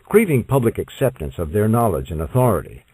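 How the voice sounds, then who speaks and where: Expressive low-voiced male audiobook narrator. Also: brisk, slightly rough, no echo. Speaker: audiobook reader. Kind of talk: scripted non-fiction narration.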